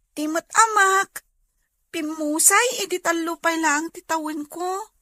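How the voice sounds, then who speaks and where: A woman's voice: extremely high pitch, sharp tone, no echo, studio quality, exaggerated voice. A woman with an Ilocano accent acting a polite, humble young woman in a radio drama, deferential and earnest.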